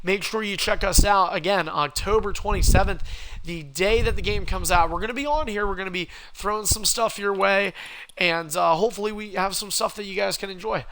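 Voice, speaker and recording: A man with a cold, sounding hoarse, hosting a game livestream and calling the action play-by-play like a wrestling announcer. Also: high voice, fairly quick, sharp tone, poor audio. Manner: wrestling-announcer calls, rising and punchy. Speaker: stream host and commentator.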